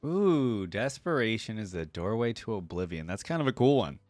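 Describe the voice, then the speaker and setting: A man's voice: lowish voice, very animated, normal speed, darkish tone, no echo, faint music behind. A young man hosting a reaction video, commenting in casual, slangy bursts with short interjections.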